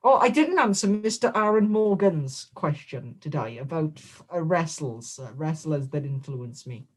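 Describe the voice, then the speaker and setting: Guy doing a deadpan wrestler gimmick interview, bragging about nonsense, totally straight-faced. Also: fairly high pitch, normal speed. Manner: deadpan, boastful and absurd. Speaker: guest wrestler. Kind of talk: comedic in-character interview.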